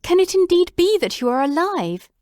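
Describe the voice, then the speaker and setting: A woman voicing an excitable, loyal middle-aged male doctor who talks in breathless, exclamatory bursts. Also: very high voice, moderate pace, no room sound, studio quality, voice pushed up. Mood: astonished.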